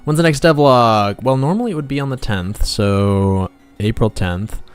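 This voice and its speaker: A man's voice: medium pitch, lively intonation, moderate pace, clear, resonant tone. A man hosting a casual livestream as he draws, rambling to viewers and muttering asides to himself. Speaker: livestream host, game developer and artist. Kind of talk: casual livestream chat while drawing. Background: background music.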